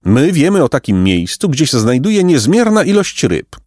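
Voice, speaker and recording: Man playing a sly, animated young boy. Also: on the high side, moderate pace, no echo, studio quality, pitched above the performer's own voice. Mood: persuasive. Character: clever young boy, courteous and slyly solemn. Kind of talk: storybook character voice.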